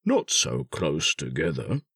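A gruff but friendly toy bear from a storybook, performed by a man. He talks very slowly and hesitantly, a little slow-witted, with big rises and falls in pitch. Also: wildly swooping pitch, a bit bright, dry recording, clean studio sound, recorded from a distance, full character voice. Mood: thoughtful. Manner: hesitant, ponderous.

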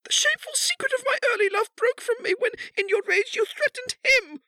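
A man's voice: very high-pitched, a little fast, pushed and bright, no echo, voice pushed up, full character voice. A man voicing a frail, desperate middle-aged lady with a faint, beseeching manner in a dramatic audiobook. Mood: desperate, tearful. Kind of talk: dramatic audiobook character reading.

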